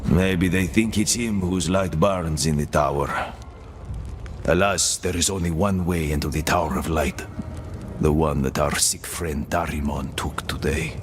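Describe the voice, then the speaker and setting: A man playing a weary, sly old prisoner in a video game, musing in measured, deliberate phrases. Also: extremely low pitch, fairly even intonation, slight background noise. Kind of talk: dramatic video game acting.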